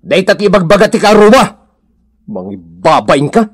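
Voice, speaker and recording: A man's voice: sits high, very animated, moderate pace, breathy edge, exaggerated voice. A man with a northern Philippine accent playing a quarrelsome, jealous husband, scolding and scornful in a comedy radio drama. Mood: accusing.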